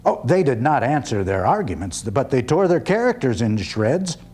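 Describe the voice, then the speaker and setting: A man performing a dramatic one-man stage reading as an earnest, skeptical 19th-century orator, with deliberate, emphatic phrasing and pauses. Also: mid-range voice, sing-song, a little mellow, breathy edge. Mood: earnest, recollecting.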